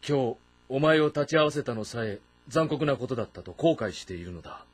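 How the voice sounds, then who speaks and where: An actor playing a commanding, shrewd warlord of ancient China in a dramatic game, with a terse, authoritative manner. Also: male voice, medium pitch, expressive, fairly quick, slightly rough, no echo. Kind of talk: dramatic audio-novel game acting.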